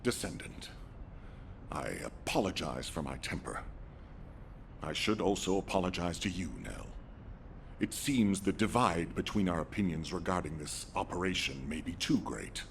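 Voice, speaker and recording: A man's voice: low voice, animated, normal speed, vocal fry, a bit bright, a little hiss or hum, heavily acted. A man playing a stern, distrustful commander with a temper in a dramatic video game scene. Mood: grave, restrained. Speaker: military commander.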